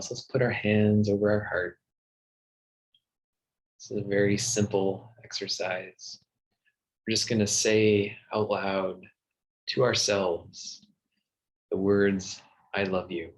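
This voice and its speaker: A man leading a guided meditation in a soothing, gentle manner with long pauses. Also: on the low side, level tone, low-quality recording. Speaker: meditation teacher.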